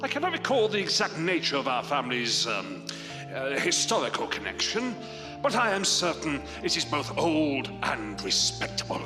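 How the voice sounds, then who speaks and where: An older man acting a proud, pompous, shabby-genteel father in a period audio drama, grandiose and halting when flustered. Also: a little high, wildly swooping pitch. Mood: flustered, evasive. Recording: echoey hall reverb, music behind.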